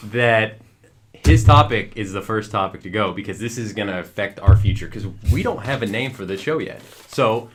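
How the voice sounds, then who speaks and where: A young man with a Western Kentucky country accent chats on a podcast with a low, upbeat voice. He talks eagerly and hypes up his friends. A faint hiss sits under the recording. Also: expressive, moderate pace. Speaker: podcast co-host. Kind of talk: casual podcast chat.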